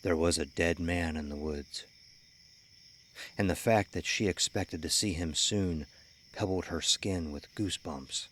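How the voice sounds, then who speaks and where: Deep voiced guy narrating a scary story, crickets and night noise behind him. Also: measured pace, fairly bright tone. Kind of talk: scripted horror story narration.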